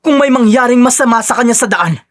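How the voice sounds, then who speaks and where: A woman's voice: a little high, animated, fast, no room sound, clean studio sound, close to an everyday voice. A woman voicing an earnest, guilt-ridden husband and son in a dramatized audio story, pleading in manner. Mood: worried, reproachful.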